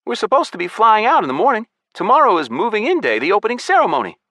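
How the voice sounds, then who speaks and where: A man voicing an eager, anxious young male gamer in an excitable way. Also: fast talker, no room sound, heavily acted. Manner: high-pitched, excitable.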